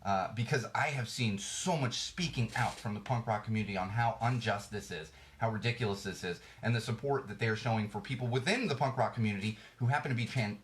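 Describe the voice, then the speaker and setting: A man's voice: lowish voice, slight background noise. A man hosting a podcast, ranting bluntly about current events, his voice slightly worn as he loses it. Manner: blunt, ranting, frequent restarts. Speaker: podcast host. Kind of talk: ranting political commentary.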